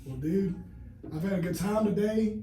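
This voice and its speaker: A thirty-year-old man hosts a relaxed podcast conversation, his voice high yet very dark and mellow in tone. He speaks in a laid-back, agreeable way. The sound is roomy, with the mic placed at a distance. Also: normal speed, a little hiss or hum. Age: 30s. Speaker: podcast host. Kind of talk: casual interview chat. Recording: echoey room, mic at a distance.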